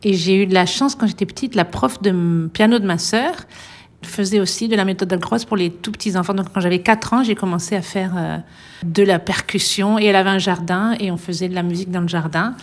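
A middle-aged woman violinist being interviewed, speaking thoughtfully with many pauses and light laughs. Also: medium pitch. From France. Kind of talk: relaxed radio interview.

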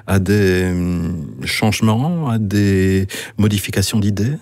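A man's voice: low voice, takes it slowly, a little mellow, dry recording, studio quality. A man hosting a radio interview, asking engaged questions. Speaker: radio host.

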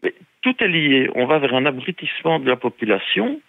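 A man in his forties, calling in to a radio show by phone, talking haltingly with many restarts. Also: medium pitch, big pitch swings, vocal fry, no room sound. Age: 40s. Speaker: caller. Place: in Spain. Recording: tinny phone line.

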